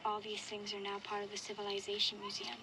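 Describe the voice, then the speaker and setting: A woman playing a wary, reflective young girl who narrates an audio diary in a measured, matter-of-fact way. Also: mid-range voice, completely flat delivery, music in the background, natural voice, poor audio. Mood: somber, reflective. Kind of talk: first-person audio log narration.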